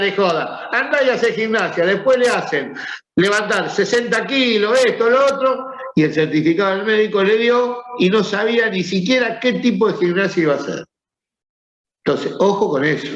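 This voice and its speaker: A man's voice: sits high, expressive, moderate pace, fairly bright tone. A man with a Rioplatense Spanish accent, teaching a class in a conversational way and putting questions to his students. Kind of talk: classroom lecture. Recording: echoey room, dull sound.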